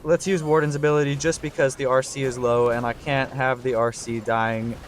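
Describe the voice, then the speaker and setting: A slightly hoarse young man giving live commentary on a strategy game, talking through his thinking and getting excited on big plays. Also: mid-range voice, fairly quick, a little hiss or hum. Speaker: gaming channel host.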